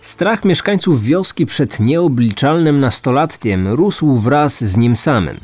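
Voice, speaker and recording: A man's voice: medium pitch, animated, slow, darkish tone, breathy edge, no echo, faint music behind. A man narrating a scripted true-crime story as a podcast host.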